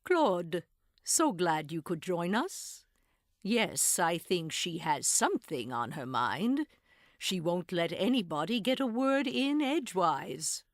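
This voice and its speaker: Unhurried woman hosting a mystery theater. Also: lowish voice, big pitch swings, no echo, studio quality. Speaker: host and announcer of an audio drama series. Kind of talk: scripted theatrical introduction.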